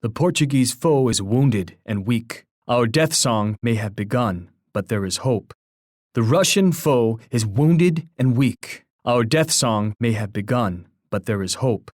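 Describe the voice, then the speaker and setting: An actor voicing a solemn, dignified war leader in a video game, speaking in grave, formal, poetic oratory. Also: male voice, mid-range voice, lively intonation, a little mellow, no echo, clean studio sound. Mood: grave, hopeful. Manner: measured, grave, formal declamation.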